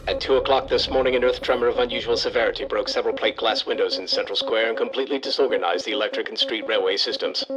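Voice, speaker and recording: An actor playing an urgent, formal old-time radio newsreader delivering a clipped bulletin in a radio drama. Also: male voice, on the low side, quick pace, hardly any pauses, a crowd in the background, lightly acted, rough recording.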